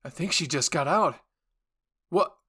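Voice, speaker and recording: A man voicing a brash, blunt young male soldier in an audio roleplay, speaking loudly. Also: a little high, expressive, unhurried, slightly rough, voice pushed up, heavily acted. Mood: startled.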